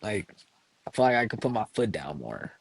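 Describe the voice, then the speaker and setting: A young man hosting a casual podcast chat, reading out questions and reacting with laughs and quick agreement. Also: on the low side, very animated, gravelly, husky.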